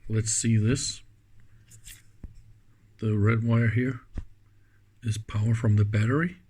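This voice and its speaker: Slow-paced, musing man. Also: lowish voice, fairly even intonation, dark tone, breathy edge. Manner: musing, halting, trailing off mid-thought. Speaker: electronics teardown host. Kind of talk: casual unscripted hands-on commentary. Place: indoors at a workbench.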